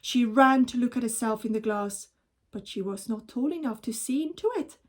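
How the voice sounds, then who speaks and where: A woman reading a children's story aloud as a teacher would, in a measured, expressive storytelling manner. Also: on the high side.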